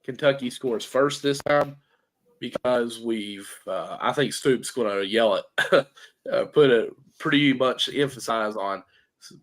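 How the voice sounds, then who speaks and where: Hoarse, congested man co-hosting a podcast. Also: mid-range voice, unhurried, a bit bright. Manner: rambling, restarts mid-thought. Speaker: podcast co-host. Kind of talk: casual sports chat.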